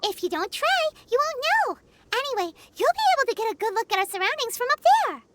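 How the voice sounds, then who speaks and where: Game sidekick: a tiny pushy fairy, extremely high and bouncy, heavy noise behind. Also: female voice, very animated, fast talker. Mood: chirpy, pushy. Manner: chirpy, speaks of self in third person. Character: tiny childlike female floating fairy companion, pushy, easily spooked. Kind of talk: cartoonish video game voice acting.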